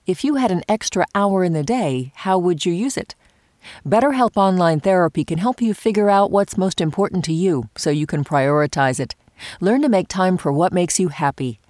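A woman reading a scripted sponsor message in a smooth, even promotional delivery. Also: lowish voice, normal speed, dry recording, clean studio sound. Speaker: sponsor ad reader. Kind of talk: scripted ad read.

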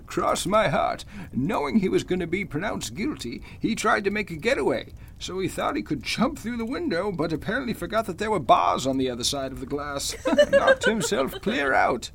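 An older man playing a gentlemanly, earnest veteran judge with a gravelly, husky voice in an old-time radio drama. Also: high voice, huge pitch swings, normal speed, slight background noise, heavily acted. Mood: amused reminiscing. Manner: earnest, old-fashioned formal speech. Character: genial but suspicious veteran male judge, gentlemanly.

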